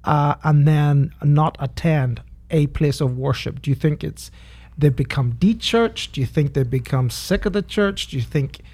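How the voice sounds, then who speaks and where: Male pastor whose first language is Spanish, slightly raised pitch, animated and conversational. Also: measured pace. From Canary Islands, Spain. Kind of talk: casual podcast chat.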